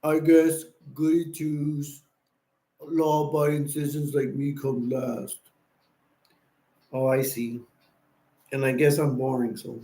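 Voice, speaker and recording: A tired, sleepy man hosting a casual late-night live stream, rambling and giving viewers shout-outs. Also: on the high side, flat delivery, slow, pauses often. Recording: echoey room.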